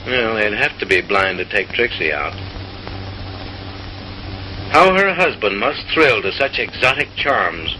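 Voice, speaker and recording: A man's voice: lowish voice, very animated, a little slow, pauses often, pushed and bright, a little hiss or hum, low-quality recording. A man narrating in a mid-century comic newsreel style, with an arch, mock-refined, theatrical delivery, on a vintage film soundtrack.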